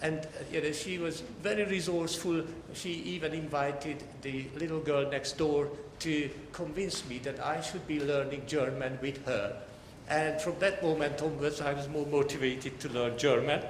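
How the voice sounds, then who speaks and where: An older man from Hungary with a Hungarian accent gives a formal academic lecture as a professor, in measured phrases that often pause mid-sentence. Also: a little high, fairly even intonation. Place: large lecture hall, talking to an audience. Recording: echoey lecture hall with background noise.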